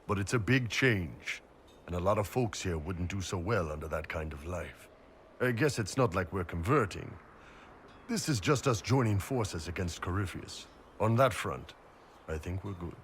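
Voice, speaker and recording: A low-voiced, gruff mercenary giant. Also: male voice, expressive, normal speed, a little hiss or hum. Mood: matter-of-fact. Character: big horned Qunari mercenary warrior, gruff, laid-back. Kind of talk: video game dialogue.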